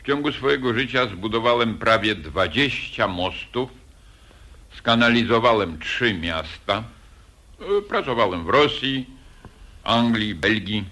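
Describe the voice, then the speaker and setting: An actor playing an older, boastful and self-pitying engineer in 1940s radio acting, with lively, theatrical hesitations. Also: male voice, on the low side, measured pace, heavily acted. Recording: old radio recording, faint hiss.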